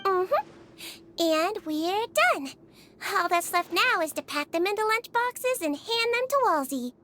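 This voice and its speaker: A woman voicing a small, gentle, caring girlish nurse in a video game, in a soft, high and sweet voice. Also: sing-song, slow, heavily acted. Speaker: head nurse. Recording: game dialogue with clear background music.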